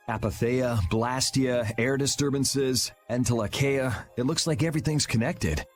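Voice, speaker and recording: A man's voice: medium pitch, takes it slowly, faint music behind, natural voice. A man playing a frank young adventurer in a video game, casual and direct. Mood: musing.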